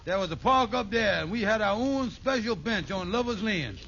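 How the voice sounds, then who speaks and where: A man's voice: high voice, expressive, moderate pace. A man playing a scheming, henpecked con-man husband in a 1940s radio sitcom, folksy, wheedling and blustering. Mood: wheedling, defensive. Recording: dull, noisy old radio recording.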